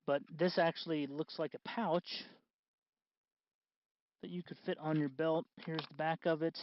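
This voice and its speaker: A man with a slightly high voice talks through a hands-on tutorial. He is casual and unscripted, often halting to restart and correct his phrases. The sound is somewhat dull and very dry, as if there were no room around him. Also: fairly even intonation, dry recording. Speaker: host of a DIY costume electronics tutorial.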